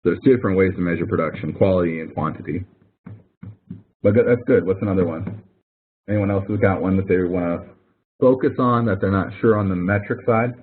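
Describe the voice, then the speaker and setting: A man with a fairly low, husky voice talks like a professor in an informal help session. He explains step by step and repeats key points for emphasis. The sound is narrow and phone-like, as on an online call. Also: fairly quick. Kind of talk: informal explanatory tutoring with questions and answers. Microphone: online call microphone. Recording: thin phone-like online conference audio.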